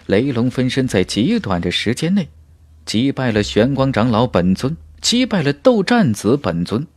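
Man narrating fantasy with very lively intonation. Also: medium pitch, normal speed, no echo, studio quality. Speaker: audiobook narrator. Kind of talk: dramatic scripted narration of a fantasy novel. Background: background music.

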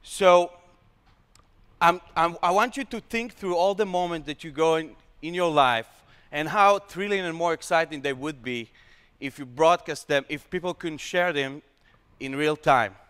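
A young man with an Israeli accent, a startup founder pitching his product on stage with energetic, slightly broken English. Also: measured pace, clean tone. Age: young adult. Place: large hall with stage. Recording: long hall reverb.

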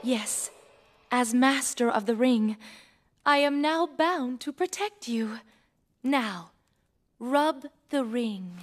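A woman's voice: fairly high pitch, expressive, slow pace, long pauses. A woman playing an earnest, dutiful young female genie in a video game, formal and polite with archaic phrasing. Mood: reverent. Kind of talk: video game cutscene acting. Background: background music.

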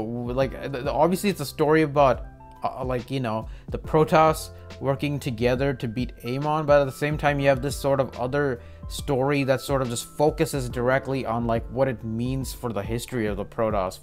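Young male reaction host, mid-pitched, chatty and meandering, music behind. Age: young adult. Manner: rambling, repeats filler phrases like "you know". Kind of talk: casual commentary to viewers. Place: small indoor room with desk and posters.

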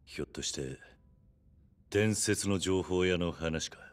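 A man's voice: low-pitched, takes it slowly. An actor playing a stoic, resolute yakuza man in a Japanese video game cutscene, speaking tersely. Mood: calm, grave. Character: stoic, resolute middle-aged yakuza man, tough and composed. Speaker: video game lead character.

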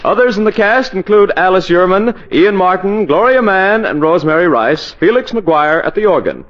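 High-voiced vintage radio announcer. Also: male voice, lively intonation, moderate pace, a bit bright. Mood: cordial. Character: upbeat network radio show announcer. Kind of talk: 1940s radio announcing, scripted. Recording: muffled 1940s radio with music, applause.

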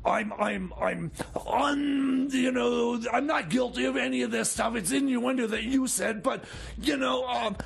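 Super high croaky jailbird hustler, stammers and rambles, radio comedy skit. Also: male voice, heavily acted. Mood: rambling, earnest. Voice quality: high-pitched, creaky. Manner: stammering, halting, repeats himself. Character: Jeb, stammering male grifter jailbird, excitable and rambling.

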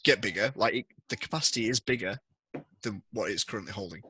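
Male game master, bright forward voice, explaining the scenario to players. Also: lowish voice, fast, a little hiss or hum. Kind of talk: running a tabletop game session. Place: indoors at home, remote group video call. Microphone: headset.

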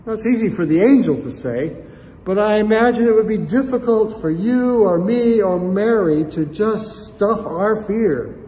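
Older male pastor, high and unhurried. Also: expressive, mellow. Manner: reflective, addresses listeners as friends, gestures with both hands. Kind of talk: sermon. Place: church sanctuary, indoors. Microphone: camera at chest height, seated facing it. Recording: long church reverb, faint room noise.

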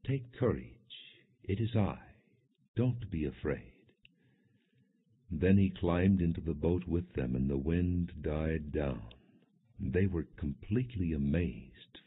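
Low-voiced guy reading the bible like an audiobook, solemn and measured, bit husky, muffled narrow sound. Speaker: audiobook narrator. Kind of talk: scripted narration, non-dramatized Bible reading.

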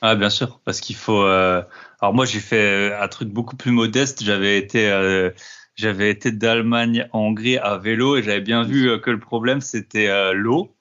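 A man from Alsace hosting a podcast interview, asking questions and answering with quick "ok, top" affirmations. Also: on the low side, fairly even intonation, fairly bright tone. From Alsace, France. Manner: friendly, short affirmations. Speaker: podcast host. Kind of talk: casual interview. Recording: dull lo-fi call audio.

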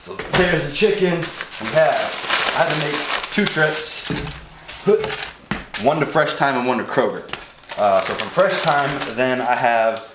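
A man's voice: medium pitch, expressive, a little slow. A young American man chatting casually and informally in a home vlog, in a slangy, chatty way. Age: 20s to early 30s. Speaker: fitness food vlogger. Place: home kitchen, indoors. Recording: roomy echo, clear noise and clicks.

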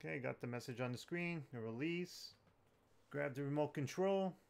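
A man's voice: fairly high pitch, normal speed, vocal fry, clean tone. A man hosting a tech tutorial, talking viewers through steps in an informal, plain-spoken way. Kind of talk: casual step-by-step tutorial narration.

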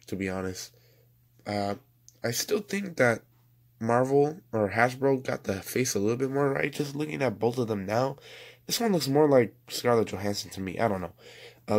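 Young man losing his voice, chatty. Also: mid-range voice. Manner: rambling, chatty, self-correcting. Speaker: toy reviewer. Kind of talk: casual toy review voice-over.

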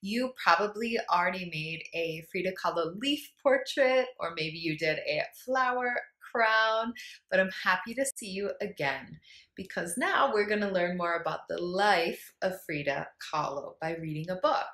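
A young woman teacher reading a picture book aloud to children, gentle and encouraging, often stopping to ask her listeners questions. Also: fairly low pitch, normal speed. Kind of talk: children's read-aloud lesson. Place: small room indoors. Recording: echoey room.

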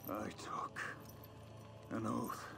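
A man playing a weary, principled knight in a video game, grave and deliberate, with a gravelly voice. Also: over music. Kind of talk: cinematic video game acting.

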